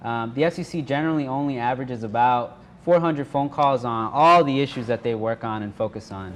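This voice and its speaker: A man presents informally to a workshop in a live room. His pitch is average for a man, and clear background noise sits behind his talk. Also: fairly quick, fairly bright tone. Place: indoor workshop room with an audience. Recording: noisy live room recording.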